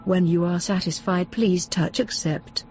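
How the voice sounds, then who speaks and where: Casting: actor as formal automated system voice, mellow dark tone, muffled, audio drama. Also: mid-range voice, fairly even intonation, a little slow, natural voice. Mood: impersonal, polite. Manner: impersonal, formal. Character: impersonal polite software announcer of a virtual realm. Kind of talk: automated announcement. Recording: muffled, with faint music behind.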